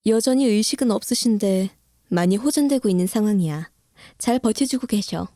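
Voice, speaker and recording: A woman playing a kindly, encouraging hospital doctor in an audio drama, talking in a gentle, familiar, reassuring way. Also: medium pitch, normal speed, dry recording, studio quality, natural voice. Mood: sympathetic. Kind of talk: Korean audio drama acting.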